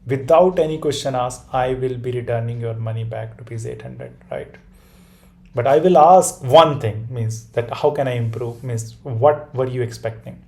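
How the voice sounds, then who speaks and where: A man whose first language is Hindi runs an online class over a computer mic on a video call. His voice is mellow and dark, at a middling pitch. He keeps checking in with "right?" and often restarts his sentences. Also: normal speed. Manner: repeats "right?" and restarts often, talking through a whiteboard diagram. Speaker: course instructor. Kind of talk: online webinar lesson with a sales pitch.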